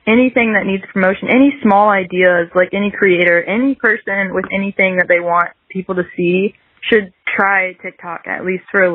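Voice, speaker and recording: A woman's voice: medium pitch, dry recording, narrow, tinny audio. A woman chatting casually as a musician guest in an interview, rambling and peppering her talk with "like". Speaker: guest musician, singer-songwriter.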